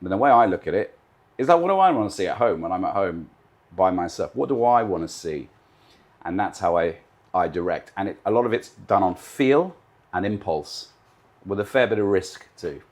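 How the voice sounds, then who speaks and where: A man in his fifties, originally from Britain, speaks in a fairly low register as a guest in an interview. He talks with confidence and emphasis, often lining up his points in repeated, list-like runs. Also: animated, moderate pace, a little mellow. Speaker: guest, live TV director. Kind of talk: interview answers.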